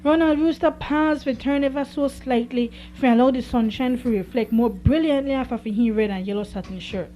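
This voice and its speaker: A woman's voice: high-pitched, moderate pace, few pauses, warm tone, lightly acted. A woman with a Belizean Creole accent narrating a folksy animal fable for a radio play. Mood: wry narration. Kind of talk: scripted storytelling for radio play. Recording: faintly noisy live radio recording.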